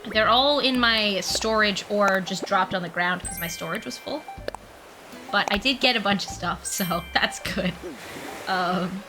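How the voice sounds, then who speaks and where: A woman's voice: mid-range voice, a little slow, poor audio. Young woman streamer, kinda scatterbrained, lots of ums, bit of a cold, game music going in the back. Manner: soft laughs, reads and answers chat. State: has a mild cold. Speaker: livestreamer. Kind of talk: casual live-stream chat while playing a game. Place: room at home with the window open.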